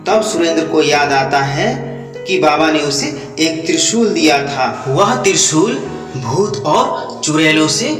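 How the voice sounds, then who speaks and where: A man's voice: fairly high pitch, lively intonation, a little slow. A man reading scripted narration for a children's story. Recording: echoey, distant, music behind.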